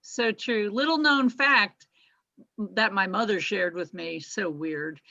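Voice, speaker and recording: Bright, enthusiastic woman. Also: mid-range voice, vocal fry, highs cut off. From Berks County, Pennsylvania. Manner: enthusiastic and quick to agree. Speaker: guest writer. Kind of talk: casual chat.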